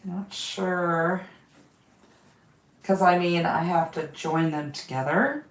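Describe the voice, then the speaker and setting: A woman's voice: on the low side, takes it slowly, reverberant. A woman who has lost her voice, hosting a quilting livestream, chatting casually with viewers and reading their comments while she sews.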